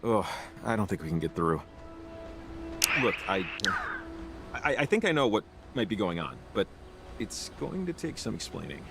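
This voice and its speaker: Casting: low, lively male game character, earnest amateur radio buff, hesitant and halting, music behind. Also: vocal fry. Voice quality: halting, self-interrupting speech. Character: earnest, hesitant male radio-technology enthusiast, eager to explain. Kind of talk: naturalistic video game voice acting.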